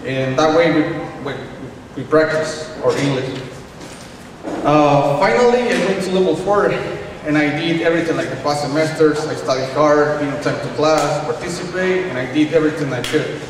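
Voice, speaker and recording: A young man speaks a little high for a man, earnest and careful. He sounds far from the mic in a very echoey room, with clear ambience behind him. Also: normal speed, noisy background. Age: young adult. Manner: careful, earnest, with some restarts. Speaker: English language student giving a speech. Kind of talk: prepared speech. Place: small classroom indoors, at night. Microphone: room microphone at a distance. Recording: echoey room, picked up from afar.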